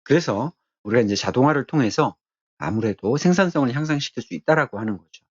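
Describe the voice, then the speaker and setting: A man giving an explanatory technical lecture as an instructor, speaking haltingly and repeating his key phrases. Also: medium pitch, slightly rough, dry recording, dull audio.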